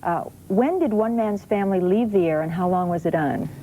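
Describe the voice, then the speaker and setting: A woman's voice: medium pitch, fairly quick, mellow. A woman hosting an informal daytime television talk show in a studio. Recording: slightly noisy old TV tape.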